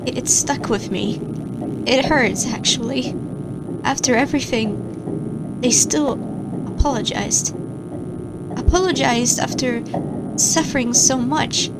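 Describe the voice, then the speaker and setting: A woman's voice: high voice, heavy background noise. Woman voicing a stammering, frightened monster scientist. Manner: halting, stammering, fearful. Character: nervous stammering female monster scientist keeping logs. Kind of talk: audio log horror narration. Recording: loud music theme underneath.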